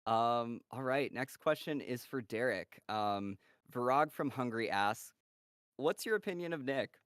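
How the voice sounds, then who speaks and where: A man hosting a casual live-stream Q&A, reading out fan questions in a relaxed way with lots of "um"s. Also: mid-range voice, unhurried, smooth, dry recording, studio quality. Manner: relaxed, filler-heavy, reads questions aloud.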